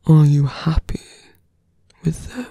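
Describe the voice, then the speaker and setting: A man playing a seductive, menacing fantasy villain in an ASMR roleplay, soft-spoken and breathy, often slipping into whispers, with deliberate pauses. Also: fairly high pitch, no room sound, heavily acted. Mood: intimate, probing. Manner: slow, deliberate, with long pauses.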